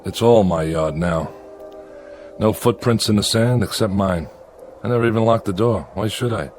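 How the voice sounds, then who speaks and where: A man acting a weary convict in solitary exile for a radio play. His very low voice rambles along in a folksy, resigned way, as if talking into a diary, with faint music behind. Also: normal speed, a little mellow, lightly acted. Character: lonely weary exiled convict keeping a log.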